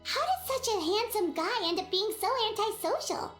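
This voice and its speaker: A woman voicing a small, excitable, opinionated fairy companion in a video game, squeaky and chirpy. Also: moderate pace, echoey room, soft background music, exaggerated voice. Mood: opinionated, puzzled. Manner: chirpy, expressive, refers to self by name.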